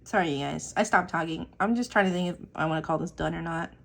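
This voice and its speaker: Chatty husky young woman rambling while drawing. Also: lowish voice, quick pace, fairly bright tone. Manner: chatty, rambling, swears casually, many fillers. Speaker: artist vlogger. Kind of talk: casual rambling chat while inking.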